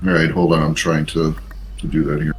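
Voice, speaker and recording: A man's voice: very deep voice, flat delivery, a little fast, slight background noise. A man talking casually and briefly as a player in a tabletop role-playing game.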